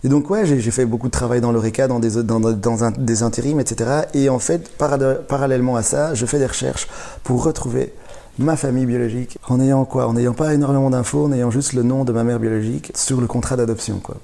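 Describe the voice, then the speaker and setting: Mellow-voiced man telling his story. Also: medium pitch, fast talker, gravelly. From born in Rwanda, raised in Belgium. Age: 40s. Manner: lively, laughs often, frequent restarts. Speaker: guest, comedian. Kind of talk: casual interview storytelling.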